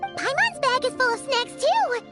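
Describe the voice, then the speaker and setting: A woman voicing a tiny, excitable, fussy fairy companion with a squeaky, childlike voice for a video game. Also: a little slow, heavily acted. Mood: chatty. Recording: loud music under the voice. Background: loud children's music.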